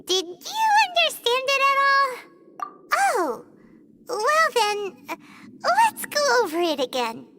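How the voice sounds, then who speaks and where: A woman voicing a small, perky, talkative fairy companion in a video game, with a squeaky childlike voice and exaggerated cute delivery. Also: huge pitch swings, slow, very noisy, exaggerated voice. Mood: puzzled, explaining. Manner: exaggerated cute delivery, talks of self by name. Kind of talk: video game character acting.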